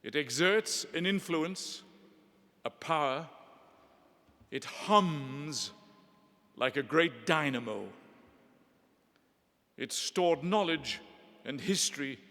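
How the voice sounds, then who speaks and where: A middle-aged man from Northeast England giving a measured, eloquent formal address with gentle humor, recorded in a large hall with long reverb. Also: fairly high pitch, lively intonation. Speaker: ceremony speaker.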